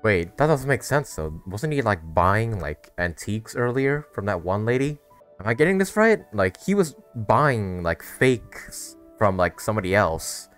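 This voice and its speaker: A man's voice: fairly low pitch, unhurried, clear, resonant tone, soft background music. A man giving casual, slangy playthrough commentary, reacting expressively to a game's story. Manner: slangy, expressive, mock-incredulous. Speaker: game streamer reacting to story.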